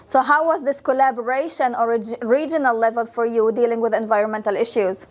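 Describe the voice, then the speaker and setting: A woman's voice: fairly high pitch, fairly even intonation, fairly quick. A woman interviewing prize winners at an event, asking encouraging, enthusiastic questions.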